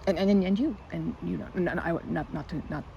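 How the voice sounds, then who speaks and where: Stammering, timid young woman, acted out by a woman, muffled amid loud ambience. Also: lowish voice, fast talker, gravelly, slight background noise. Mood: shy, teasing. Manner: stammering, hesitant, flustered, giggly. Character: timid, awkward, sweet young woman, a park regular. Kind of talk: ASMR roleplay, improvised-sounding one-sided conversation.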